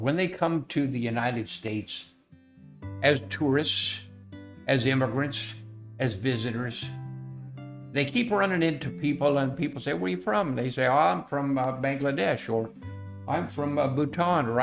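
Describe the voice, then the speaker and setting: An older man speaks in an earnest, folksy way, circling back with fillers and repeated phrases as he tells a personal story. His voice sits at an ordinary middle pitch. Soft guitar music plays faintly underneath. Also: vocal fry. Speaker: educational software founder telling a story.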